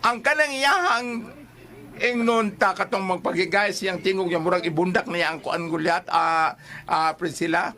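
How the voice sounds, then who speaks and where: A man's voice: high-pitched, normal speed, bright, forceful tone. A man with a Cebuano accent in a casual, teasing storytelling chat as co-host of an advice show.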